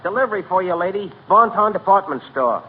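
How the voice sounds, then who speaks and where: Quick-talking radio delivery man. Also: sits high, expressive. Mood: businesslike. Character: brisk, polite working-class delivery man. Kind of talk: 1940s radio comedy acting. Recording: noisy, narrow-band 1940 radio recording.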